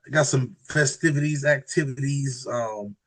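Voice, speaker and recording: A man's voice: medium pitch, normal speed. A man in casual, joking podcast chat. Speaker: podcast co-host.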